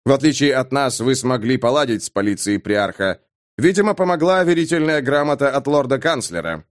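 A man's voice: medium pitch, expressive, brisk, natural voice. Audiobook guard captain, male narrator in role: authoritative, stern, clipped and dry delivery. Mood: matter-of-fact. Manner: dry, curt, commanding.

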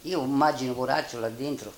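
A woman's voice: very deep voice, a little fast. An older woman with a Roman accent giving spoken testimony as a witness. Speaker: witness recalling wartime. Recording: roomy, noisy archive interview.

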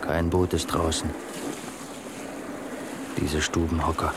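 A very deep-voiced fisherman in a radio drama. He mutters to himself slowly and gruffly in short bursts, a loner at his work. Loud sea and wind surround him. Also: little pitch movement. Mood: grumbling. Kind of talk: radio play monologue acting.

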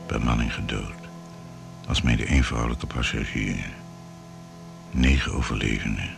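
Formal clipped narrator, bottomless low pitch, scripted radio drama, music clearly behind. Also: male voice, steady tone, moderate pace, full character voice. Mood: solemn. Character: solemn male radio-play narrator questioning survivors. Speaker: narrator and interviewer.

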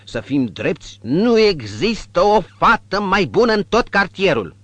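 A man voicing a coarse, sharp-tongued older woman who keeps a tavern, speaking in a raised, brutal voice. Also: sits high, no room sound, voice pushed up, heavily acted. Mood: fawning. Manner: brutal, snappish, then sugary flattering. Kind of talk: audiobook character voice.

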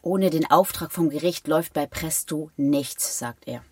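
A woman's voice: low-pitched, measured pace, breathy edge, dry recording. An actress playing a down-to-earth, exasperated woman in a radio drama, blunt and reproachful. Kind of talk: German radio drama acting.

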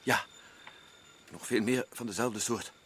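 A man's voice: fairly low pitch, unhurried, a little hiss or hum. An actor playing an agitated, insistent male expedition member in a radio drama. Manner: urgent, rising to shouts.

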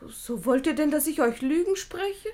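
An actress playing a naive young ward in a classic radio play, speaking verse with artless, plaintive frankness. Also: female voice, high-pitched, expressive, normal speed, dark tone. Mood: candid. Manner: innocent, plaintive, candid.

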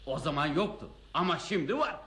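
A man's voice: on the high side, lively intonation, fairly quick, fairly bright tone. An actor playing an eager, fawning shop salesman in a radio play, pushy and ingratiating, repeating himself. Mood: eager, cheerful. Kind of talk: radio theatre acting. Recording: roomy, echoing radio play recording.

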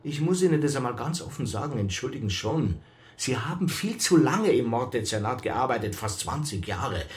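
A man acting an exasperated Bavarian police chief in a radio crime play. His mid-pitched voice swings up and down as he scolds and reproaches in an officious way. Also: sing-song, normal speed, lightly acted. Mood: indignant, reproachful.

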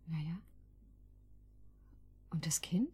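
An actress playing an anxious, trusting young housewife in a German radio drama, speaking in a hesitant, earnest way. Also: female voice, deep voice, expressive, slow, darkish tone, breathy edge, exaggerated voice. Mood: uneasy, wistful. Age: young adult.

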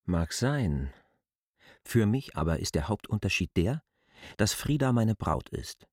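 An actor playing a proud, defensive land surveyor in a German literary radio drama, arguing deliberately in formal phrasing. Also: male voice, on the low side, very animated, darkish tone, dry recording. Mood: cool, reasoning.

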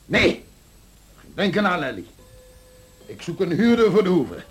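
Cruel, domineering master, swooping voice. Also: male voice, high voice, big pitch swings, measured pace, soft background music. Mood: scornful, commanding. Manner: harsh, commanding, scornful. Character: cruel, domineering master of a house, hateful and mocking. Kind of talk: radio play acting.

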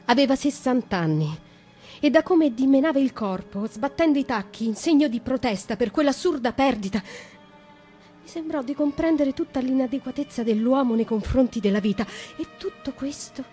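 An actress playing an imprisoned young noblewoman in a theatrical radio monologue, speaking intimately and dramatically with deliberate pauses. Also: high-pitched, expressive, warm tone. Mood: tender, sorrowful. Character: imprisoned young noblewoman, wounded, confiding, bitterly defiant. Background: music.

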